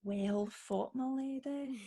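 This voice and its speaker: A woman's voice: sits high, slow, warm tone, husky, heavily acted. An actress playing a noblewoman's loyal, cheeky attendant in a radio play, with a dry, teasing manner. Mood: smug.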